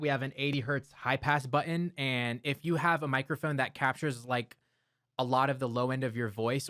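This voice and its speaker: A man of medium pitch walks through studio gear in a relaxed, step-by-step way, with the odd filler word. He talks close into a broadcast mic in a home studio, and the sound is dry with no room echo. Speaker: audio gear reviewer. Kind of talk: explanatory gear walkthrough. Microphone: close broadcast dynamic mic.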